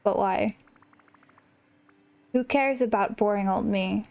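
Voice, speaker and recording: A woman voicing a gloomy, bitter, withdrawn shadow self in a video game, with a sulky, drawn-out delivery. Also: medium pitch, creaky, a little mellow, voice pushed down. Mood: sullen. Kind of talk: reading video game dialogue in character.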